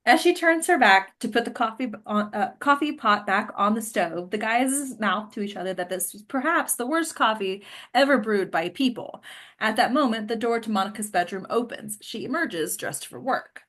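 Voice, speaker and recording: A woman's voice: fairly high pitch, expressive, normal speed, fairly bright tone. A woman reading stage directions as the scripted narrator of a table read.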